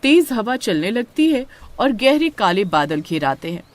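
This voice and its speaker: A woman narrates a scripted horror story. Her voice sits at a middle pitch and moves up and down in an animated, storytelling way. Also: a little slow.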